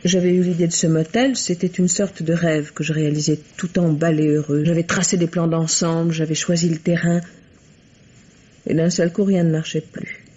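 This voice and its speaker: A woman's voice: fairly low pitch, steady tone, normal speed, dark tone, husky. An actress playing an evasive, wounded young woman under police questioning in a radio mystery, hesitant and pleading. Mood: wistful, confiding. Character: evasive, wounded young woman suspected of murdering her husband. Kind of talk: 1950s French radio mystery acting. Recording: muffled vintage radio drama sound.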